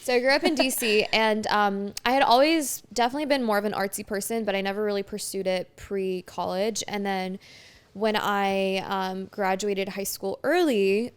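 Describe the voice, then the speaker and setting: A woman's voice: medium pitch, steady tone, moderate pace, smooth. A woman in her twenties from France, a podcast guest chatting casually and upbeat, with many filler "likes". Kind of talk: casual chat.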